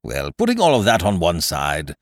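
A man's voice: low voice, wildly swooping pitch, moderate pace, dry recording, studio quality, full character voice. A man voicing a breezy, overfamiliar male scrounger in a story, effusive and cajoling with old-fashioned slangy chumminess. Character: breezy male scrounger, wheedling, overfamiliar, falsely hearty chum.

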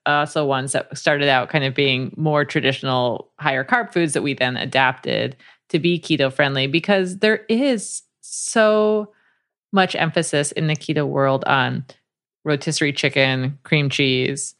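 Low-voiced woman podcast host. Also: unhurried, fairly bright tone. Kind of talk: conversational interview hosting.

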